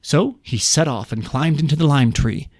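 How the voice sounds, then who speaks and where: A man's voice: on the high side, normal speed, darkish tone, no room sound, studio quality. A man reading a folk tale aloud as a storytelling podcast host.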